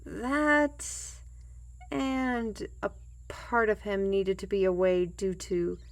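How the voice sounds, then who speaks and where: A woman's voice: medium pitch, fairly even intonation, slow. A woman playing a humble, plain-spoken fantasy trail guide and storyteller in an audio roleplay, with folksy, old-fashioned and hesitant phrasing. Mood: fond, wry. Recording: music bed behind the voice.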